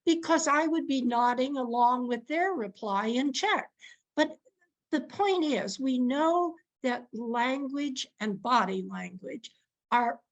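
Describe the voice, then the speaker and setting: Unhurried female guest speaker, high-ish voice, thoughtful with restarts, on a video call. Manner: thoughtful, many restarts, soft laughs. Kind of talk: answering questions in an online session.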